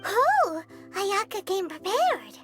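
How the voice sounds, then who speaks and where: An extremely high, childlike little fairy from a video game. She is cheerful and excitable, her pitch leaping up and down. Music plays clearly behind her. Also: takes it slowly, exaggerated voice. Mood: bubbly, eager. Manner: excitable, refers to self in third person. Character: tiny cheerful girl fairy companion, food-loving and excitable.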